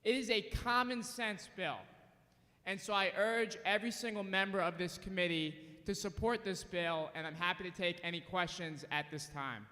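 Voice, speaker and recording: A man's voice: high-pitched, steady tone, moderate pace, bright, forceful tone. A man, a state representative, giving insistent formal testimony for his bill at a committee hearing. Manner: insistent, emphatic, persuasive. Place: legislative committee hearing room. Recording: long hall reverb.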